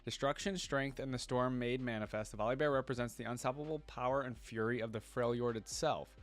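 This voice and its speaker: A man's voice: medium pitch, fairly even intonation, fairly quick. Casual man reacting and reading aloud. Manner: informal, thinking aloud with questions to himself. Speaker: reaction video host.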